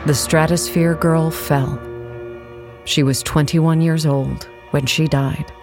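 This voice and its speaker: A narrator reading scripted historical material for an audio play in a measured, matter-of-fact way, with music underneath. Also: mid-range voice, fairly even intonation. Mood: measured, factual.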